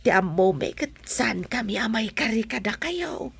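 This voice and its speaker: A woman playing a humble, grateful elderly mother in an Ilocano radio drama, hesitant and effusive, with a creaky, husky voice. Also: a little high, expressive, moderate pace, dry recording, exaggerated voice. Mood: humble, admiring. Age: older.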